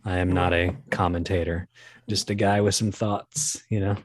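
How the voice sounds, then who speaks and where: Low-voiced man, halting and self-deprecating. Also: little pitch movement, dry recording. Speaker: podcast guest, voice performer. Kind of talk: casual interview chat.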